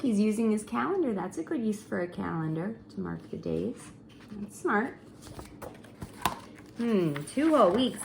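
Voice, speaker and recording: A woman reading a story aloud for children in an expressive, coaxing way, pausing for chatty teacherly asides. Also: fairly high pitch, gravelly, slight background noise. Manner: animated, coaxing, pausing to comment and wonder.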